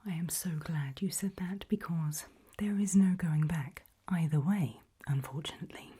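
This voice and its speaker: A woman in an ASMR roleplay voicing a polite, quietly menacing HR interviewer in a breathy, deliberate whisper. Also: deep voice. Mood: calm, veiled menace. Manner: slow, deliberate, softly spoken.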